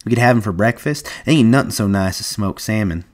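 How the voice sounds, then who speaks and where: A man's voice: lowish voice, brisk, lightly acted. A man voicing a shrewd, folksy ranch hand with plain rustic American speech in an audiobook story. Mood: warm, dreamy.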